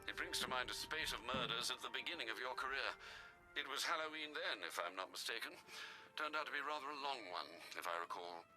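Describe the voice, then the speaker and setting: A male actor as a courteous, dutiful older butler in a video game. His mid-pitched delivery is formal and drily understated, with music clearly behind it. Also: animated, fairly quick, natural voice, low-quality recording. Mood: grave, formal. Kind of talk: video game cinematic acting.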